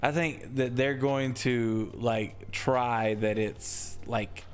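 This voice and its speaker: A man's voice: mid-range voice, fairly even intonation, very slow, faint music behind. A man hosting a casual comedy podcast, joking loosely with stop-start phrasing and sometimes dropping to a whisper for a bit. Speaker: podcast host.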